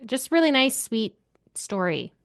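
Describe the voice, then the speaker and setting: A woman's voice: fairly high pitch, big pitch swings, unhurried, vocal fry, no room sound, studio quality. A woman talking casually as co-host of a book club podcast.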